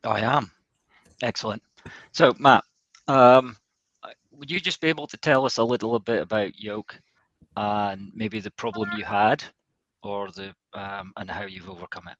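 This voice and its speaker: A man with a fairly low voice acts as a webinar host, speaking in a semi-formal, conversational way. The sound is very dry, with no room echo around him. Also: fairly even intonation, a little slow, fairly bright tone, highs cut off.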